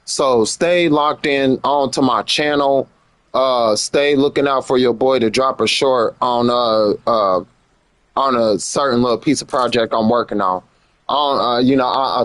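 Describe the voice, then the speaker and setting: A man's voice: medium pitch, a bit bright, no room sound. American man, slangy livestream talk, dry sound. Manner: slangy, repeats phrases for emphasis. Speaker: live streamer. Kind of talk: casual livestream commentary chat.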